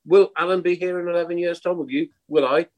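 A man's voice: high-pitched, fairly even intonation, fast, darkish tone. A man in his sixties on a video call, chatting casually about football, teasing the others and asking rhetorical questions. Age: 60s. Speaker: podcast panelist. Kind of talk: casual football fan chat.

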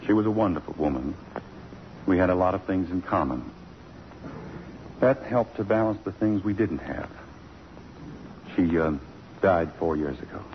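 An actor playing a gentle, reticent middle-aged college president, hesitant and courteous, in 1950s radio drama style. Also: male voice, deep voice, lively intonation, moderate pace, pauses often. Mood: tender, hesitant. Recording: muffled, noisy old radio recording.

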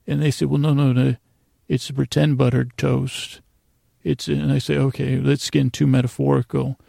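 Creaky, lulling man rambling at bedtime. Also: medium pitch, level tone, warm tone, no echo. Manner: meandering, halting with odd pauses and repeated words. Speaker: podcast host. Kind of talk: rambling, soothing bedtime monologue.

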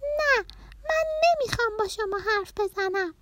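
A woman voicing an emotional, excitable little girl butterfly who is quick to sulk, in a children's story. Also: extremely high pitch, no echo, pitched above the performer's own voice. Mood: angry, tearful.

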